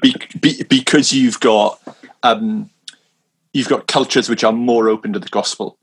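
A man's voice: medium pitch, steady tone, unhurried, slightly rough, rough recording. A man raised in Wales, a minister, talking casually and hesitantly, with frequent restarts and small laughs. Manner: hesitant, many restarts, self-deprecating laughs. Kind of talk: casual conversation. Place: London.

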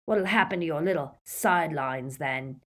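A woman voicing a gruff, defiant old male pub barman in an audiobook, scornful and quick-tempered. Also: deep voice. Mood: defiant, sarcastic.

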